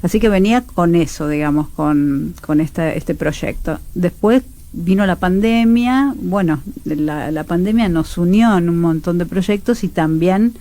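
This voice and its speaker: Low, mellow Argentine woman in conversation. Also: measured pace, hardly any pauses. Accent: Rioplatense Argentine. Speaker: radio theatre teacher and announcer, interview guest. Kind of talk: conversational radio interview.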